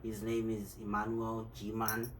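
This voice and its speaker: A man's voice: fairly low pitch, monotone, a little slow, fairly bright tone. A man hosting a casual podcast, asking questions in a friendly way, often with fillers. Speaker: podcast host. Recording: roomy sound from a distant mic with noise.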